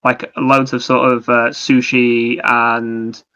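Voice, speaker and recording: A man with a bright, forward voice talks casually as a podcast co-host. His pitch stays fairly flat while he explains things in a rambling, enthusiastic flow. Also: takes it slowly, clear, resonant tone, dull audio. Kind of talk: casual chat about anime and games.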